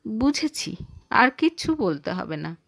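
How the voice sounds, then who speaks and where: A woman's voice: on the high side, sing-song, unhurried, gravelly, a bit bright, full character voice. A woman voicing an earnest working wife in an audio story, eager yet hurt, with a pleading, emotive manner.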